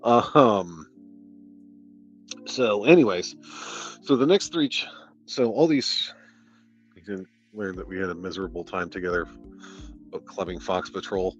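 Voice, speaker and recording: A low-voiced man with a Midwestern American accent from the Detroit area mocks a book on his livestream, sarcastic and freely swearing. The audio is a bit dull and lo-fi, with faint music running behind him. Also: unhurried, long pauses. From Detroit area, United States. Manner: sarcastic, profane, laughing at the book. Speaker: streamer reviewing a novel. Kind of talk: casual book-review chat on a livestream.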